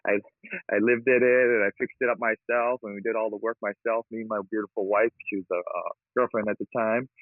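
A man's voice: on the low side, fast, no room sound. A man from Hawaii, a real estate investor guest, talking casually and upbeat with frequent laughs over a call. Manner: upbeat, laughs often, energetic. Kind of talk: casual interview talk. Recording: tinny phone-like line, dry.

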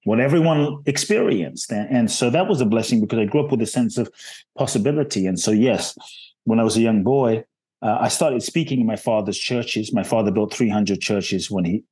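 A man's voice: on the low side, brisk, a little mellow, husky. Earnest man. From Ghana. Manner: earnest, reflective, frequent "and so" and "uh". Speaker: guest. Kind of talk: podcast interview storytelling.

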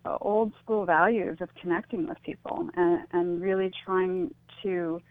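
A middle-aged American woman speaking as a radio interview guest, reflective and halting as she restarts her thoughts. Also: on the low side, steady tone, no room sound. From United States. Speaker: interview guest, suicide-loss advocate. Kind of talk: earnest radio interview conversation. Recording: muffled phone-like radio line.

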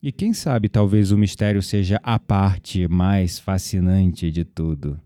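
A man with a Brazilian Portuguese accent playing a calm, philosophical young shopkeeper who flatters a customer in an audio drama. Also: low-pitched, slow pace, smooth, dry recording. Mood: earnest. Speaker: incense shop clerk.

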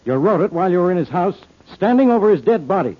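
Muffled old radio drama, blunt police inspector, sarcastic, hard-boiled, rough-edged, animated intonation. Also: male voice, high voice, fast, full character voice. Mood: exasperated, accusing. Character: gruff, sarcastic, suspicious male police inspector. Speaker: actor in a detective radio drama. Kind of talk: old-time radio detective acting.

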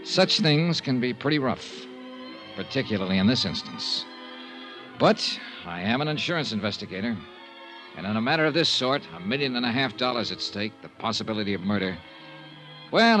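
A deep-voiced, hard-boiled investigator. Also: male voice, very animated, a little fast, a bit bright, poor audio. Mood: brooding narration. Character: hard-boiled, conscience-troubled male insurance investigator. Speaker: lead actor and first-person narrator. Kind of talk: 1950s radio detective drama acting. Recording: 1950s radio recording with music.

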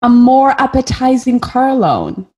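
A woman's voice: sits high, sing-song, a little slow, darkish tone, faint music behind. A woman from Kenya speaking candidly in a group video-call discussion, thinking aloud and sometimes searching for words. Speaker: discussion participant.

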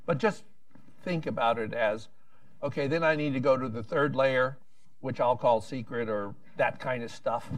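A man's voice: medium pitch, normal speed, dry recording, recorded from a distance. A man speaking on a policy panel, rambling with frequent restarts and wry asides.

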